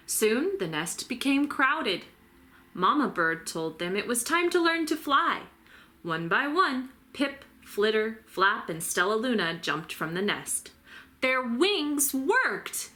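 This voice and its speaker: A woman reading a children's storybook aloud as a teacher, with deliberate, dramatic delivery. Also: fairly high pitch, sing-song, pushed and bright. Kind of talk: expressive children's storybook narration.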